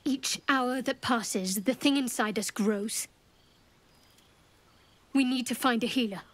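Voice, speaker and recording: A woman's voice: a little high, slow pace, dry recording. An actress playing a guarded, practical young woman adventurer in a video game, speaking in a cool, dry manner. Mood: concerned. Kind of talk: video game character acting.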